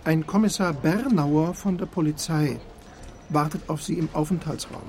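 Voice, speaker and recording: Casting: actor for stern office supervisor, male, higher-set voice, officious and reproving, radio play, slight noise. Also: moderate pace, a little mellow, close to an everyday voice. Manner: clipped, formal, reproving. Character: stern, pedantic male call center boss. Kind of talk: naturalistic radio crime drama acting.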